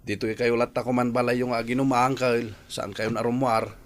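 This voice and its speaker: An actor with a Filipino accent playing an earnest, worried nephew in a radio drama, pleading respectfully. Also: male voice, mid-range voice, flat delivery, a little fast, lightly acted. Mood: polite, reluctant. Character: earnest, worried married nephew, gently reproachful.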